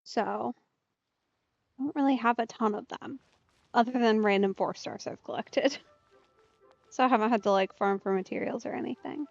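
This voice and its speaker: A woman's voice: on the high side, moderate pace, creaky, pauses often, darkish tone, dry recording, dull audio. A young woman casually commenting while playing a video game, chatty and giggly. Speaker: game streamer. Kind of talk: casual let's play commentary. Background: game music.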